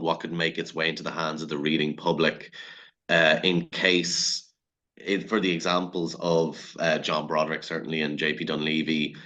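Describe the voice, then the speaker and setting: A man with a very low voice speaks as a panelist in an academic discussion. His delivery stays quite flat in pitch, and his phrasing is scholarly and wordy.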